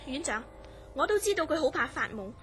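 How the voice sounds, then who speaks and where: Radio drama: concerned young Hong Kong woman played by an actress, high-pitched, polite, measured and grave as she recounts a mystery. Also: big pitch swings, loud music behind the voice. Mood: earnest, grave. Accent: Hong Kong Cantonese. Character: concerned, earnest young woman telling of her troubled friend. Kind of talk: Cantonese horror radio drama acting and narration.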